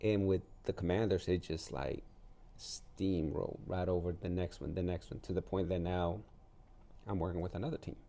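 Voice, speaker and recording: Man, interview guest from El Salvador, very deep voice, heavy vocal fry, relaxed tone, informal storytelling. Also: normal speed. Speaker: interview guest, artist and gallery owner.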